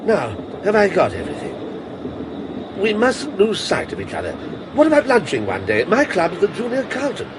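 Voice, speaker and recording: Cheery posh gent in a radio play, high voice, bouncy, hissy tape. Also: male voice, wildly swooping pitch, fairly quick. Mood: jovial. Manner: jovial, chatty. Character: hearty complacent middle-class English gentleman. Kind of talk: radio drama acting.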